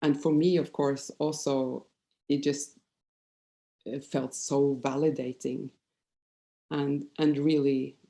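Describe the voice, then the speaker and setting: Low, mellow Norwegian woman, halting delivery. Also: flat delivery, gravelly. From Norway. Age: 50s. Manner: hesitant, halting, candid. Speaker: psychologist giving a presentation. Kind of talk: informal conference presentation with personal storytelling.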